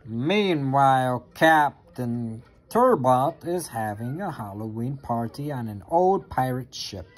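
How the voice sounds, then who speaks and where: An expressive reader with a sing-song delivery, reading a children's picture book aloud into a phone at home. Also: mid-range voice, slow, few pauses, faint music behind. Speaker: storybook reader. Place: carpeted playroom at home. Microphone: handheld phone near the reader.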